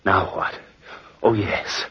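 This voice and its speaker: An actor playing a mad, obsessed murderer in 1940s radio horror style, shifting between breathy whispers and feverish outbursts. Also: male voice, slow pace, pushed and bright. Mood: gloating, furtive. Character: mad, obsessed young murderer narrating his crime. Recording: scratchy, muffled 1940s radio recording.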